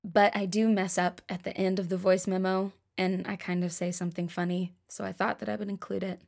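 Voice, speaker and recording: A woman speaks in an easygoing, conversational way as a songwriter. Her voice sits in an ordinary middle pitch, and her tone stays casual and lightly amused as she introduces her voice memos. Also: level tone, a little fast, creaky, darkish tone. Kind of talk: casual spoken commentary.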